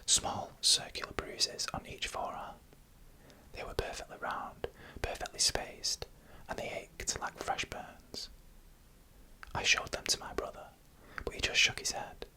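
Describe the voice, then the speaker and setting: The storyteller whispers throughout in a breathy ASMR style, close to the mic. The whisper is bright and sibilant, with no voiced tone. Phrases come slowly and with suspense, separated by short pauses. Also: poor audio. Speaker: narrator.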